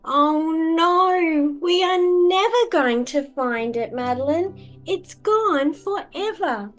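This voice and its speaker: Lady doing a little girl in a kids story, super emotional, high voice, exclaims everything slowly. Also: expressive, a bit bright, soft background music, full character voice. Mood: despairing. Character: tearful, easily discouraged little girl, excitable. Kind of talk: children's storybook read-aloud.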